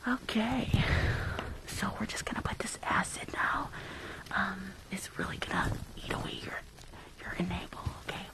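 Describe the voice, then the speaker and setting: A woman's voice: medium pitch, low-quality recording. Whispering woman in dentist ASMR roleplay. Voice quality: breathy whisper with creaky edges. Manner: halting, repetitive, dismissive reassurance. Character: rude, shady, dismissive woman dentist.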